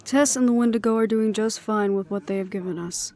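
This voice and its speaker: Audio roleplay lead: actress as a dutiful middle-aged woman in charge, medium pitch, composed and resolute. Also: close to an everyday voice. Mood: reflective, resolute. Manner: measured, reflective, quietly firm.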